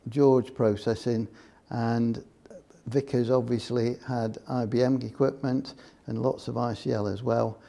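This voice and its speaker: Older man with a gravelly, mellow voice. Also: medium pitch, level tone. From Scotland. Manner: measured, explanatory, with frequent restarts. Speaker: interviewee, former computing employee. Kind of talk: oral history interview.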